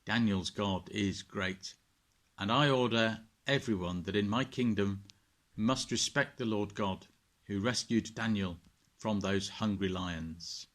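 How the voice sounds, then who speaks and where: A man's voice: lowish voice, takes it slowly, a bit bright. Devout, worried monarch for a children's storybook, earnest and declaiming, male reader acting it out. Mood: solemn decree. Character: King Darius, kindly, anxious, devout male ruler. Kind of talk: children's Bible storybook reading.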